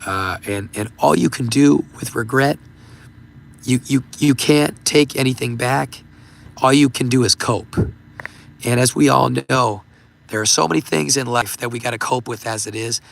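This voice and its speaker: A man hosting a livestream, talking casually and haltingly with many fillers, his voice going hoarse and giving out. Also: medium pitch. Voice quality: gravelly, voice giving out. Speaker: livestream host. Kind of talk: casual livestream talk reading super chats.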